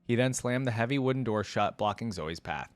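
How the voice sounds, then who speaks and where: Low-voiced man reacting to a story. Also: lively intonation, fairly quick, smooth, no echo. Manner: rambling, thinking aloud. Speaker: reaction video host. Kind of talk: reading a story aloud with casual commentary.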